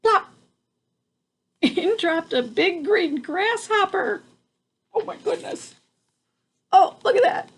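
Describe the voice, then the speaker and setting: High-voiced older woman reading a storybook. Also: slow, pauses often. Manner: expressive, exclaiming, child-directed. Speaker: grandmother reading a picture book. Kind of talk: storybook read-aloud with casual asides.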